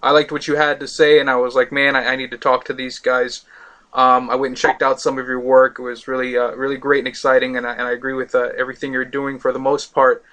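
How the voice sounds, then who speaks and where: A man's voice: mid-range voice, level tone, fast, pushed and bright. A man from Miami hosting a casual talk radio show, rambling with many fillers.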